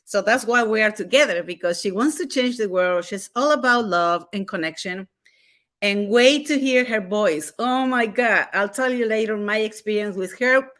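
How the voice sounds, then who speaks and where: A woman's voice: medium pitch, moderate pace, fairly bright tone. A woman hosting an online interview show, introducing her guest in an effusive, gushing way. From USA. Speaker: host.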